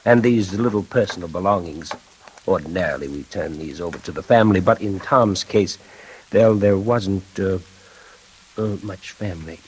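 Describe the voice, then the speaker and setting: An actor playing a patient, reassuring and authoritative prison warden in an old-time radio drama, with a measured, avuncular manner. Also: male voice, low-pitched, slight background noise, close to an everyday voice, low-quality recording. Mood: grave, gentle.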